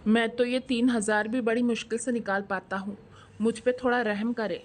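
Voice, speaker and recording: A woman's voice: on the high side, fairly even intonation, normal speed, natural voice. A woman voicing a poor, earnest male shopkeeper and father in a scripted moral story. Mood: shocked, pleading.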